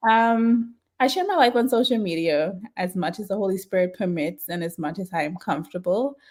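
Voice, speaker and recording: A woman, a podcast guest telling her life story in lively casual chat and laughing often. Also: medium pitch, fairly quick. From Bahamas.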